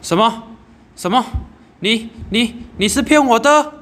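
A man's voice: very high voice, lively intonation, a little slow, a bit bright, pitched above the performer's own voice, heavily acted. A teenage male student playing a terrified young wife who pleads for mercy in an amateur radio drama. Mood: shocked. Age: teens.

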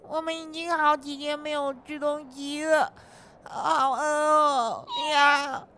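A woman voicing a starving stray cat that complains in a drawn-out whine. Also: high voice, fairly even intonation, sharp tone, a little hiss or hum, exaggerated voice. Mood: plaintive. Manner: drawn-out whining.

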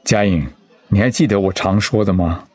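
A man playing a gentle, stoic, ailing middle-aged husband in a radio drama, hesitant and reassuring. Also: lowish voice, normal speed, dry recording, close to an everyday voice. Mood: calm, reassuring.

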